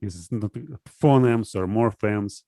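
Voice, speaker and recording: Halting man. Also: lowish voice, mellow, dry recording. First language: Russian. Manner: hesitant, halting, searching for words. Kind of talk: casual philosophical discussion.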